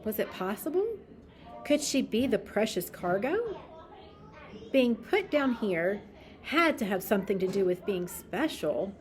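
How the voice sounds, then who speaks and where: A woman reads a children's storybook aloud at a slow pace. Her voice sits at a medium pitch, and she gives phrases deliberate, dramatic emphasis. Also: sing-song, a little mellow. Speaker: storybook reader.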